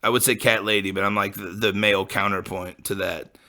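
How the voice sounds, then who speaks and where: A man's voice: on the low side, level tone, a little fast, pushed and bright. Give me a laid-back man in his late twenties, hosting a podcast, rambling with lots of likes and you-knows. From US Midwest. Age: late 20s. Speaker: podcast host. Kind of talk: casual rambling monologue.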